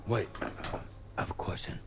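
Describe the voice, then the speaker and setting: A man's voice: measured pace, close to an everyday voice. An actor playing a troubled, blunt man in a video game cutscene, asking terse questions. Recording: clear background ambient noise.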